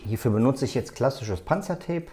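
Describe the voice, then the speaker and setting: A man hosting a hands-on tutorial, explaining step by step in a halting, unscripted way as he works. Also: medium pitch, expressive, dark tone. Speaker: tutorial host. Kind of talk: hands-on tutorial, talking while working.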